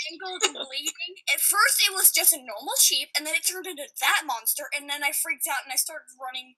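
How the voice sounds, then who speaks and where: Very bright, high-voiced woman storyteller. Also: a little fast, low-quality recording. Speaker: podcast participant. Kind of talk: casual personal storytelling.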